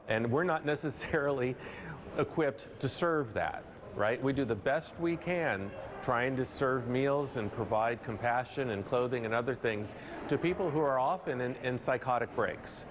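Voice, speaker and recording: A man's voice: mid-range voice, moderate pace. A man with an American accent giving interview answers as a nonprofit director. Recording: clear background ambient noise.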